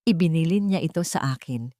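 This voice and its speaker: A woman voicing a gentle, wise, steadfast elderly mother in an audio story, speaking softly and calmly. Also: low voice, no room sound, studio quality. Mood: solemn, gentle. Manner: soft, calm, understanding, unhurried.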